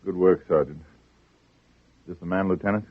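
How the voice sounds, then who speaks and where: Deep, husky, stern army captain. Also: male voice, steady tone, a little slow. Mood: smug, cold. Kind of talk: 1940s-50s radio drama acting. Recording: muffled narrow-band old radio recording.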